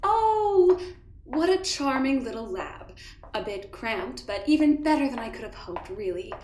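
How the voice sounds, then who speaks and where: A woman's voice: fairly high pitch, very animated, unhurried, a little mellow. A woman playing a smug weapons developer in an audio drama, gushing and arch with false politeness. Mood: gleeful, arch. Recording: roomy, echoing sound.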